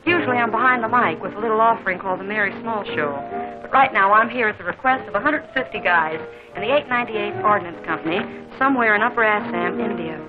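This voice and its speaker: A woman's voice: mid-range voice, fast, music in the background. 1940s radio lady introducing a song, peppy patter, muffled old recording. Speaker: radio singer and host.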